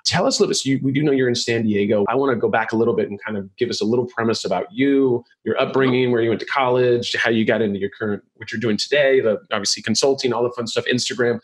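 A middle-aged man hosting a podcast, chatting casually and joking, rambling with quick restarts. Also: mid-range voice, breathy edge, rough recording. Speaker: podcast host. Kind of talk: casual interview chat.

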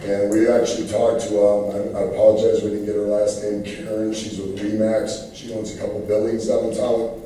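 Hoarse, plainspoken man at a public meeting, slightly low voice, distant mic. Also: monotone, normal speed, warm tone, a little hiss or hum. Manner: earnest, plainspoken, many fillers. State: losing his voice. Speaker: public commenter at city meeting. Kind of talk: speaking at public comment. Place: large council chamber, standing at podium. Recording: roomy, distant council-chamber recording.

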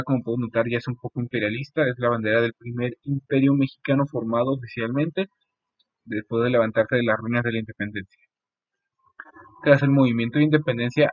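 A young man giving an informal history presentation in a halting way, often repeating his phrases. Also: medium pitch, dry recording. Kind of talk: informal school-style history presentation over slides. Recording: muffled, phone-like narow band, dry.

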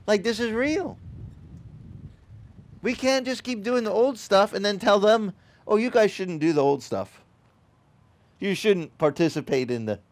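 A middle-aged male pastor whose first language is Italian, preaching outdoors by the sea into a headset mic. His voice sits a little high and swings widely in pitch as he talks. He keeps it casual and playful, slipping in joking asides between his points. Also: a little mellow. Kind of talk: sermon. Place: outdoor beach service.

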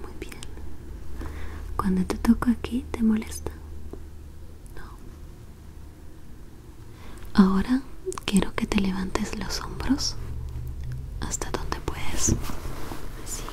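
A young woman performs an ASMR role play as a clinic examiner. She whispers nearly throughout, very close to the mic, and takes her time with short, soothing instructions. Her pace is very slow. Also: medium pitch, steady tone, darkish tone. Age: young adult. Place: small indoor room.

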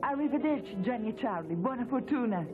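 A woman's voice: on the high side, animated, a little fast, lightly acted. An actress playing a big, good-natured young foreign woman athlete in a dubbed anime, speaking sincerely. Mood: warm, earnest. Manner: sincere, drops in English phrases. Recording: narrow tinny sound, music behind.

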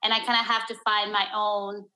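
A woman's voice: mid-range voice, little pitch movement, a little fast, sharp tone, poor audio. A woman from Peru talking as a podcast guest, reflective and searching, with many "like" fillers. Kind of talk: candid interview conversation.

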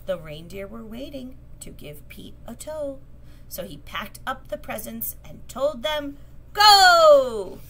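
A middle-aged woman reading a children's picture book aloud in an expressive, sing-song manner. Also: a little high. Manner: sing-song, expressive, slow and deliberate delivery for young children. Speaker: storytelling teacher reading aloud. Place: small classroom or office, indoors. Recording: clear background room noise.

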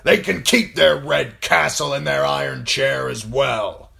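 A man voicing a grim, bereaved, unyielding lord in a fantasy audiobook, forceful and bitter. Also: mid-range voice, unhurried, creaky, voice pushed up, heavily acted. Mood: grim resolve. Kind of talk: audiobook fantasy character acting.

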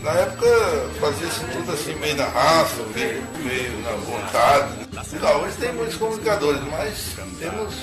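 A man's voice: fairly high pitch, animated, a little slow, few pauses. A man in his fifties with a Brazilian Portuguese accent, a radio broadcaster chatting easily in an interview, with frequent restarts. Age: 50s. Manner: talkative, easygoing, frequent restarts. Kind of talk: casual interview, reminiscing. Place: small indoor kitchen-like room. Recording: echoey room with loud music underneath.

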